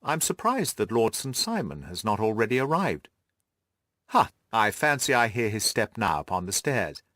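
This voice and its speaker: A man voicing an amused, self-assured gentleman detective in an audiobook, with a teasing, theatrical drawl. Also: fairly low pitch, sing-song, normal speed, no echo, clean studio sound. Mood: brisk. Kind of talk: audiobook character acting.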